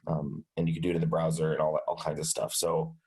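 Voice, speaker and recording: A man's voice: extremely low pitch, monotone, fast, darkish tone. A man on a video call, a little stuffed up with a light cold, talking through a team decision with many restarts. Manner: rambling, many restarts and hedges. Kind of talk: casual meeting discussion.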